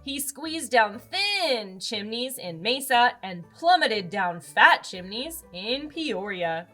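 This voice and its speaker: Lady reading a kids book aloud, slow n super expressive, highish voice. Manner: expressive, dramatic emphasis. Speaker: children's storybook reader. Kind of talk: animated read-aloud narration for children. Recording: music under the voice.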